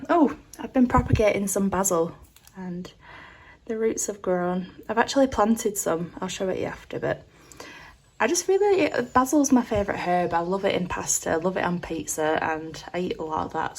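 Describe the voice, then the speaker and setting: Stuffed-up woman chatting. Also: fairly low pitch. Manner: chatty and rambling, full of "like" fillers. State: stuffed up and nasal from a cold. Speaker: artist vlogger. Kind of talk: casual studio tour vlog. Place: small home art studio.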